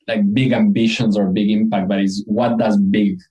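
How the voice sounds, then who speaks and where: A man's voice: lowish voice, level tone, normal speed, warm tone, low-quality recording. A man from Ecuador with an Ecuadorian accent, a company co-founder answering interview questions in an earnest, reflective way. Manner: earnest, reflective, frequent restarts.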